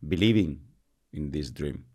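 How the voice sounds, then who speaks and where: A male interviewer with a very low voice and a dark, mellow tone. He asks leading questions and often finishes his guest's sentences for him. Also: unhurried.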